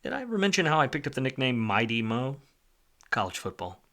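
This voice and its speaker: An actor playing a world-weary, wisecracking private detective in a film noir radio play, wry and slangy. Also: male voice, mid-range voice, big pitch swings, fast talker, sharp tone, soft background music. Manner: hard-boiled, wry, slangy.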